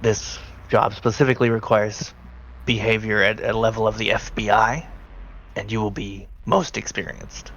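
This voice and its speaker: Low creaky-voiced man running a game. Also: steady tone, normal speed, a bit bright, lo-fi sound, slight background noise. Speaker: game master of a tabletop game. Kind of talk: narrating a tabletop roleplaying game, partly in character as a briefing handler.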